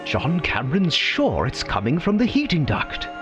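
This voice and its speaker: A man's voice: on the high side, huge pitch swings, normal speed. Guy narrating a radio story, dramatic and swoopy, bit muffled, music behind. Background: prominent music.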